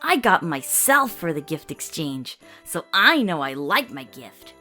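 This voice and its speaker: A woman's voice: sits low, sing-song, measured pace, music in the background. A woman voicing a blunt, wry country farm mare.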